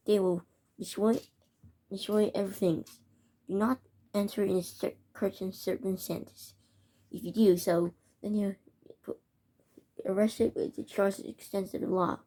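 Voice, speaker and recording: A woman's voice: medium pitch, steady tone, warm tone. A woman reading a homemade emergency alert broadcast, stumbling and restarting often. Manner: halting, stumbling over words. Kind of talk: amateur mock emergency broadcast narration.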